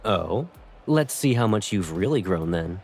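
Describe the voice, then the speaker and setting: A man's voice: sits low, expressive, measured pace, a little mellow. A man voicing a teasing, devoted young captain and sweetheart in a romantic game fan dub. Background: music.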